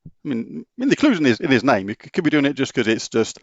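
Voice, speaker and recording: A man's voice: mid-range voice, big pitch swings, fast talker, dry recording, muffled recording. A middle-aged British man hosting a gaming channel, casually answering viewer questions in an open, matter-of-fact way that rambles and restarts. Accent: British English. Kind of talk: casual Q&A chat.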